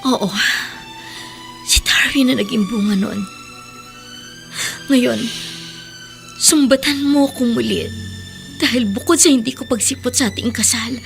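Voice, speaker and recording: A woman's voice: high-pitched, vocal fry, fairly bright tone. An actress playing a proud, wounded mother and former bride in a radio drama, reproachful and intense. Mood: bitter, confessing. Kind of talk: Tagalog radio drama acting.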